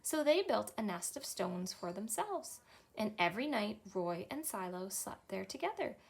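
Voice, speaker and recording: A woman in her thirties with a Canadian accent, a children's librarian reading a picture book aloud in a cheerful, expressive storytime manner. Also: medium pitch, normal speed, creaky, breathy edge. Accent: Canadian English. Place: small indoor library room.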